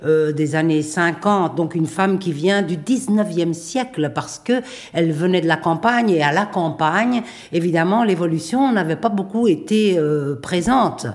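A middle-aged woman, speaking thoughtfully in a radio interview, pausing and restarting often. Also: lowish voice, a little mellow. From Belgium. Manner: thoughtful, hesitant with many pauses and restarts. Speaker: playwright and performer.

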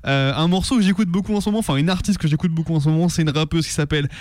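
Male radio co-host, lightish pitch, crisp tone, casual and enthusiastic, close dry studio. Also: very fast, darkish tone, dry recording. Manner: enthusiastic, colloquial.

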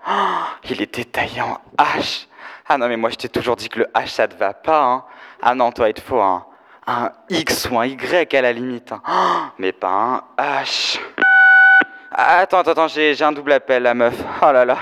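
A young man playing a flamboyant, fashion-obsessed DJ friend, gossiping in trendy slang with theatrical, drawn-out exclamations in comic audio fiction. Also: mid-range voice, lively intonation, fairly bright tone, close to an everyday voice. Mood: gossipy, mocking. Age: teenager or young adult. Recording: echoey hall, live stage performance.

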